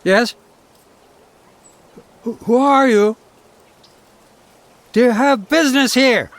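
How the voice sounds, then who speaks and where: A man acting in an audio drama as a flustered, suspicious householder, halting and challenging. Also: very high-pitched, dry recording, full character voice.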